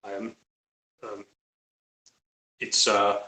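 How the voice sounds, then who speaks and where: A man with a Finnish accent talking casually and bluntly on a live stream, halting and repeating his words. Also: on the low side, little pitch movement, bright, forceful tone. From Finland. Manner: halting, repetitive, blunt with swearing. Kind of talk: casual opinionated live talk. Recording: roomy, echoey live-stream audio.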